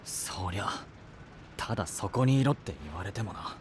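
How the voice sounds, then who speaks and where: A man voicing a blunt, brash young male knight apprentice in an anime voice drama, talking in a rough, casual way. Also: low-pitched, animated, moderate pace, slight background noise.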